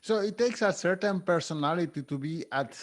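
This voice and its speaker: A man's voice: sits high, expressive, a little fast. A man hosting his podcast, reading a scripted introduction and then asking long, meandering questions with small laughs. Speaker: podcast host. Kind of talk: podcast interview.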